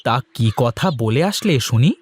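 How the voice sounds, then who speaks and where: A practical, generous village shopkeeper from a moral cartoon , acted by a man. His middle-aged voice is rough-edged and medium in pitch. He explains things like a kindly elder, with very varied intonation. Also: expressive, normal speed. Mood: genial. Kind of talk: Bengali moral-story cartoon dialogue.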